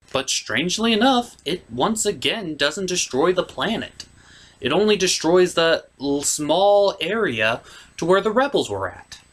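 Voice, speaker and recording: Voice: mid-range voice, a bit bright. A reviewer delivering a scripted comedic review in a sardonic, emphatic way, as the host of the show.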